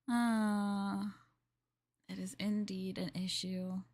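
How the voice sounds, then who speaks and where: A woman streamer chatting casually in a maid roleplay, rambling and laughing softly as she addresses her master. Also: mid-range voice, fairly even intonation, drawn-out words. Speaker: VTuber streamer.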